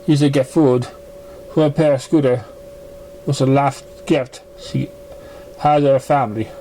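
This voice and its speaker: A man reading a story aloud as an amateur narrator, stumbling and halting over the words. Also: medium pitch, fairly even intonation, warm tone, poor audio. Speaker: amateur fan fiction reader. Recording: noticeable background noise, amateur recording.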